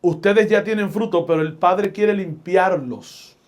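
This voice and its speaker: A middle-aged man from Puerto Rico with a Puerto Rican accent, a pastor and radio host telling stories in a podcast interview with a deliberate, emphatic preaching delivery. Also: high-pitched, a little mellow. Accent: Puerto Rican Spanish.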